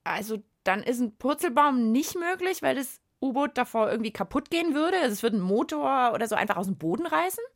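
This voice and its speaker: A woman's voice: high voice, expressive, fast talker, hardly any pauses, no room sound. Dry, close-sounding woman podcast host. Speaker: co-host of children's science podcast. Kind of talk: casual, curious conversational presenting for children.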